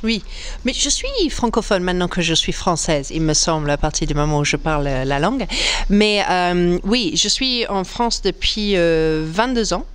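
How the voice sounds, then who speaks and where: A woman comedian from England speaks in a smooth, clear voice. She answers interview questions casually, hesitating with plenty of fillers and laughing easily. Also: fairly low pitch, moderate pace. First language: English. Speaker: comedian guest.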